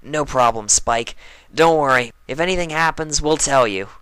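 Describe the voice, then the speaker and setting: A man's voice: a little high, moderate pace, sharp tone, clean tone, dry recording, pitched above the performer's own voice, low-quality recording. Man doing a casual, blunt tomboy pony. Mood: reassuring. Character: brash but loyal tomboy female pegasus pony. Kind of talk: fan fiction reading of a cartoon.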